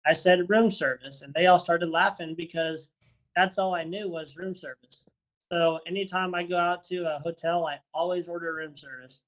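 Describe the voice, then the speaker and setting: A man's voice: fairly high pitch, fairly even intonation, moderate pace, a bit bright, muffled recording. Friendly rambling man on a video call. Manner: rambling, repeats and restarts words, friendly. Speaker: interviewee, first responder and dog handler. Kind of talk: casual interview answers.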